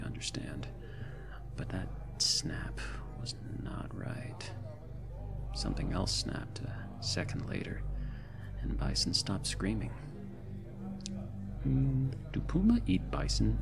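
Man playing a low, gravelly drunken space survivor. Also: heavily acted. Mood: drunken rambling. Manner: slurred, halting, rambling with muttered asides. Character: drunk, haunted male space reclaimer recounting a disaster. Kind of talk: in-character ASMR roleplay monologue. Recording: loud bar ambience music behind.

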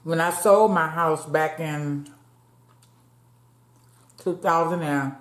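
A woman's voice: sits low, slow pace, reverberant. Chatty woman in her late fifties. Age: late 50s. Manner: chatty, talks to viewers as y'all, eating between words. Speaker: mukbang vlogger. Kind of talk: casual chat while eating. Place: dim room at home, seated at a table. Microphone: fixed camera across the table.

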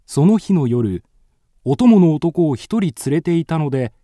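A man's voice: on the high side, very animated, a little slow, warm tone, no echo, studio quality. A man narrating a scripted ghost story in a polite storytelling manner.

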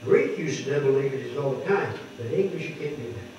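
An older man preaching at a lectern, explaining scripture word by word and stressing key words loudly. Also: mid-range voice, lively intonation, a little fast, recorded from a distance, background noise. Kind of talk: sermon teaching scripture. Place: wood-paneled church hall, speaking at a lectern. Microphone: microphone on the lectern, heard from a distant camera. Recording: echoey hall heard from afar, dull.

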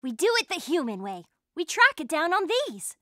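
An earnest teen heroine. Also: female voice, high-pitched, very animated, moderate pace, bright, forceful tone, no echo, studio quality, exaggerated voice. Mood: determined. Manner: earnest and commanding. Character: brave teenage heroine, level-headed leader. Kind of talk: animated series voice acting.

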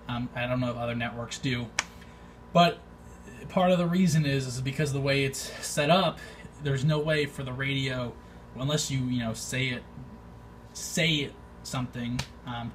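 A man giving his opinions in an informal vlog, thinking aloud in a hesitant, halting way. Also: mid-range voice. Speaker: amateur radio video maker. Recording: distant mic, faint background noise.

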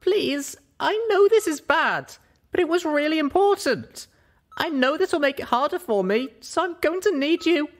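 A man's voice: very high voice, expressive, normal speed, pitched above the performer's own voice. A man voicing a young female pony adventurer in an earnest, pleading manner.

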